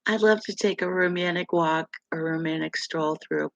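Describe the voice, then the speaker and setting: Middle-aged woman host, gravelly low voice, unhurried chatty live stream delivery, dry close sound. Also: a bit bright, no room sound. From West Virginia, USA. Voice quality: deep, gravelly voice. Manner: slow, rambling, laughs often. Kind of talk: casual live chat with viewers.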